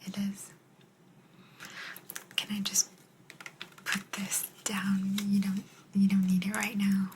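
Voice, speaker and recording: A woman voicing a kind but aloof alien examiner in an ASMR roleplay, in a breathy whisper with a gentle, halting delivery. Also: mid-range voice, background noise, lightly acted. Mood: calm, aloof. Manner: slow, halting, gentle, calmly detached.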